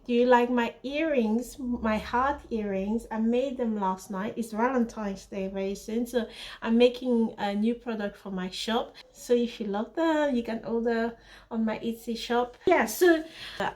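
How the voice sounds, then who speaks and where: A young woman from Africa, a vlogger and fashion designer, telling a story casually to camera in an animated, chatty way full of fillers. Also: medium pitch.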